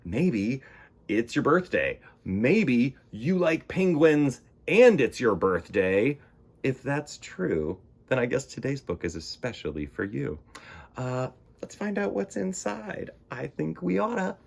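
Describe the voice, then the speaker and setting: A man's voice: medium pitch, very animated, slow pace, vocal fry, slightly rough. A man reading a picture book to children as a storytime host, with theatrical, dramatic emphasis.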